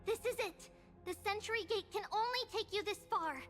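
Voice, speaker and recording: An actress voicing a small, childlike priestess guide in a video game, earnest, caring and clearly articulated. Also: female voice, extremely high pitch, normal speed, fairly bright tone, faint music behind. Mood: caring, instructive. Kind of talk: scripted video game acting.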